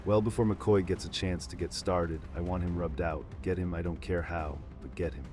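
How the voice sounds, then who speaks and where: A man's voice: sits low, steady tone, moderate pace, music in the background, lightly acted. A man playing a ruthless, swaggering gangster boss with tough mobster talk in a comic dub. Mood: menacing. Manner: tough-talking mobster delivery. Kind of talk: motion comic dub of 1940s gangster story.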